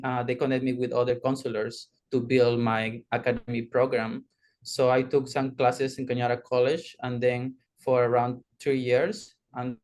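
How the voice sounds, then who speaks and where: Flat-voiced man from Peru. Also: mid-range voice. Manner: steady, with frequent ums and uhs. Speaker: former student guest. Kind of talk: personal story on a video call.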